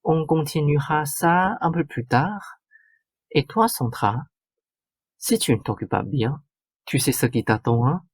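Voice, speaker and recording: Man playing a husky, domineering stepmother. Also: fairly high pitch, animated, unhurried, no echo. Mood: angry, menacing. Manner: bossy, curt, lecturing. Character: domineering, short-tempered stepmother laying down the law. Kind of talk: stage-like radio drama acting.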